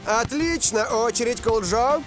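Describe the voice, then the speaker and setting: An actor playing an excitable male football commentator in a cartoon, emphatic like an announcer. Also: very high-pitched, unhurried. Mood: excited. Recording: music under commentary.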